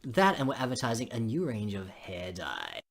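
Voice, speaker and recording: A man's voice: on the low side, huge pitch swings, moderate pace, vocal fry, exaggerated voice. A man voicing a smug, mocking teenage school rival in a visual novel read-aloud. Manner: mocking, cocky.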